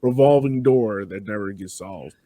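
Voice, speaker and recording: A man's voice: on the low side, normal speed, warm tone, dry recording. Dry-sounding man hosting a podcast interview. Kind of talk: conversational interviewing.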